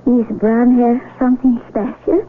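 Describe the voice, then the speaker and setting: A woman's voice: on the high side, flat delivery, unhurried, natural voice. A woman acting in a radio drama as an earnest, sheltered young blind villager who speaks in simple, formal words. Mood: gentle, curious. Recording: tinny radio broadcast with faint noise.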